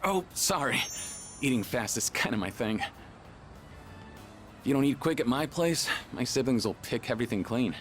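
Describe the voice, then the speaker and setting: A man's voice: medium pitch, expressive, measured pace, pauses often, soft background music, natural voice. Actor as an easygoing, earnest teenage boy. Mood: friendly, upbeat. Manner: casual, chatty, sincere. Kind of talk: video game voice acting.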